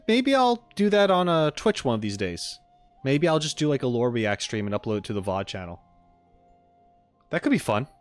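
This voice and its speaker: A man's voice: lowish voice, no echo. A man giving casual, joking reaction commentary as a streamer, informal and playfully indignant.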